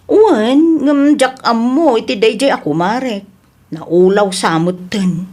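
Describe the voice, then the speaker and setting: A woman acting a gossipy family friend in an Ilocano audio drama. Her slightly high voice is animated and blunt, with an Ilocano accent. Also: slow pace. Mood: gossipy, reluctant.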